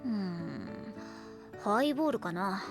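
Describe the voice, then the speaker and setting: An actress playing a world-weary, sardonic woman in an anime audio drama, grumbling in blunt, rough phrasing. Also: medium pitch, sing-song, slow pace, over music. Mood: grumbling, casual.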